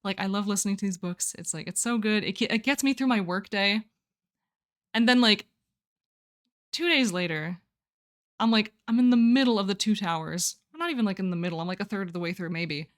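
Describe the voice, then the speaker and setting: A young woman chatting on a live stream in an easy, middle-pitched voice. She rambles from thought to thought, giggles often, and stops to answer her viewers' messages. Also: fairly quick. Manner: reads and answers chat. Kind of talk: casual live-stream chat.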